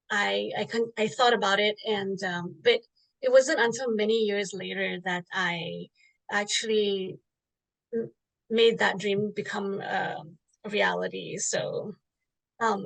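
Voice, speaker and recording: A middle-aged woman author who grew up in Singapore answers interview questions in a relaxed, reflective way. Her voice carries a light gravelly fry, and she often restarts her sentences and fills pauses with "um.". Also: mid-range voice, low-quality recording. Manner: thoughtful, many fillers and restarts. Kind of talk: interview answer, casual storytelling.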